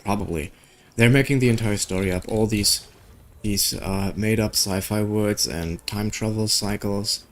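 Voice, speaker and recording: A man giving casual playthrough commentary as a gaming video host, hesitantly thinking out loud. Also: on the low side, unhurried, slight background noise. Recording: background music under the voice.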